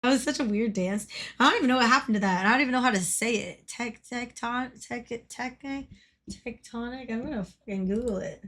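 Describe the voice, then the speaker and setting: Young woman livestreamer, slightly hoarse, a bit high-pitched, giggly, rambling, filler-heavy casual chat. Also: fairly quick, a bit bright. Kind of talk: casual chat while gaming.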